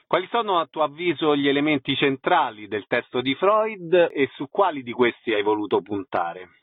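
A man's voice: mid-range voice, sing-song, moderate pace, no room sound. A man hosting a radio interview, giving concise announcements and putting short questions to a guest. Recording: dry, narrow phone-like sound.

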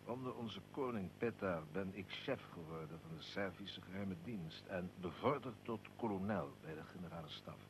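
A man acting as a Serbian colonel who leads a secret society in a historical radio drama. He speaks in a low, authoritative voice with solemn, deliberate weight. Clear rumbling noise sits on the old radio recording. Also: natural voice. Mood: proud, measured.

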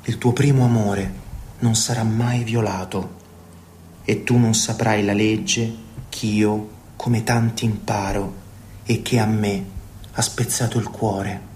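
A man in his thirties reading a scripted, literary radio narration in a measured, poetic way. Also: on the low side, little pitch movement, darkish tone, a little hiss or hum. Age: 30s. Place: radio broadcast.

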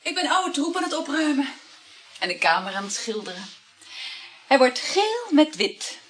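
An actress in a radio play voicing a nonchalant, questioning married woman with lovers, in a husky voice. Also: high-pitched, big pitch swings, moderate pace, a little hiss or hum. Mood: breezy, tired.

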